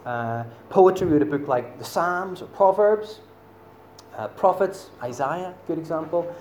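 A man with a Northern Irish accent preaches in a loose, chatty way, full of ums and self-deprecating asides. His voice sits slightly higher than most men's. He is heard in a roomy church with a faint hum of ambience behind him. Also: animated, measured pace, a little mellow. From Northern Ireland. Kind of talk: sermon. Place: church hall.

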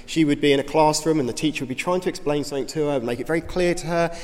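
A man with a slightly hoarse voice, giving an informal, earnest talk as a youth ministry director in a church. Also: a little high, very fast, a little mellow. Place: church hall. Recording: reverberant hall.

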